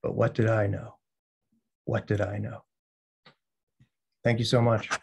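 An American man giving a poetry reading, speaking as the poet presenting his own work in deliberate phrases. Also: on the low side, level tone, creaky, noisy background. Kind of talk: poetry reading with spoken introductions.

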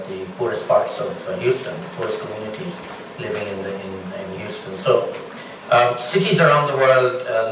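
A middle-aged man from Bangladesh speaking as a panelist, explaining his points in a measured way and building them with examples. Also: medium pitch, noisy background. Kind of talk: panel discussion answer. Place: large lecture hall with audience. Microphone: room mic from a distance. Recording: echoey hall from far off.

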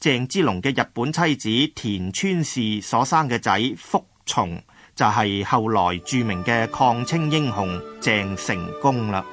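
A man's voice: mid-range voice, expressive, unhurried, over music. A man with a Hong Kong Cantonese accent narrating a scripted history radio drama.

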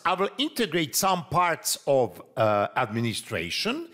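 Croatian accent guy doing a software tutorial, slow careful english, voice jumps up and down a lot. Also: fairly high pitch, slightly rough. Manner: slow, deliberate, non-native phrasing with fillers. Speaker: software trainer. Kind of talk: screen-recorded tutorial.

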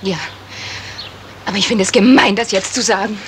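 A woman's voice: medium pitch, steady tone, moderate pace, natural voice. A woman playing an anxious, wounded young suspect in a 1970s radio crime drama, fretful and earnest. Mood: hurt. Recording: noisy vintage radio play recording.

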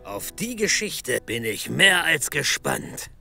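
A man's voice: on the high side, sing-song, slow pace, creaky, bright, forceful tone, faint music behind, exaggerated voice. An actor playing a stern, skeptical male police captain in a German comedy audio drama, exasperated and authoritative. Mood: stern, suspicious.

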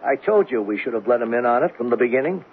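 An actor playing a stern, exasperated American police captain in a 1970s radio drama. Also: male voice, mid-range voice, animated, fast, lightly acted. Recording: muffled, hissy old radio broadcast.

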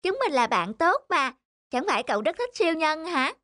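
A woman voicing an earnest young schoolboy in an animated children's story, with childlike delivery. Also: high-pitched, moderate pace, no room sound, clean studio sound. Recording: faint music behind the voice.

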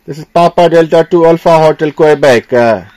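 High-pitched man on ham radio. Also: normal speed, darkish tone. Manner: repeats phrases and call signs. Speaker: amateur radio operator. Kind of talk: ham radio contact exchange. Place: indoor radio desk.

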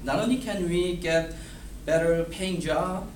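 Slow Korean-accented man reading a speech. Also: on the high side. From South Korea. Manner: halting, repeats and restarts words, gestures while reading. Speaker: student giving a speech. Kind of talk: reading a prepared class speech. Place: classroom in front of a whiteboard. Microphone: far camera. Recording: roomy, distant, noisy classroom audio.

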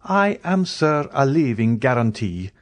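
A man's voice: mid-range voice, very animated, unhurried, voice pushed up. A man voicing a proud, mocking, coldly menacing aristocratic count in an audiobook, in a haughty, formal, declamatory manner. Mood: haughty, threatening.

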